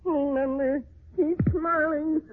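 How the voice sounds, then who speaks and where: A man's voice: very high-pitched, fairly even intonation, slow, narrow, tinny audio. An American actor playing a giddy, fussy postman with flowery, mock-poetic speech, in old radio comedy style on a scratchy recording. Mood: chirpy.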